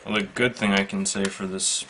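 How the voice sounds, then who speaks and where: Low, gravelly man in flat monotone. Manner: matter-of-fact, halting, measured. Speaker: product reviewer. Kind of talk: casual unscripted product demonstration. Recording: faint background noise.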